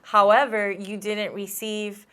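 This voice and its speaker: A woman from Cuba hosting a casual interview, animated and playful with her guest. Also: mid-range voice, slow, clear, resonant tone. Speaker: host.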